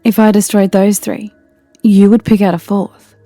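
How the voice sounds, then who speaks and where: A woman's voice: mid-range voice, level tone, moderate pace, dark tone, no room sound, soft background music, lightly acted. A woman voicing a regal pony princess who answers in a composed, dry, unbothered manner. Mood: calm dry wit. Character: calm, regal pony princess, dryly witty. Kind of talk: audio drama reading.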